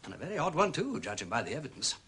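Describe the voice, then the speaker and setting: An actor playing a jaunty, quick-witted gentleman sleuth with an upper-class English accent and breezy, theatrical phrasing in a period detective drama. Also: on the high side, sing-song, slightly rough, full character voice. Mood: wry, musing.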